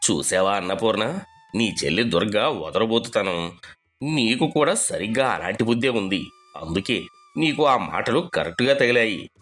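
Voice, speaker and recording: An actor voicing a stern, hot-tempered older village father-in-law in an animated story, scolding and emphatic. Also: lowish voice, moderate pace, soft background music.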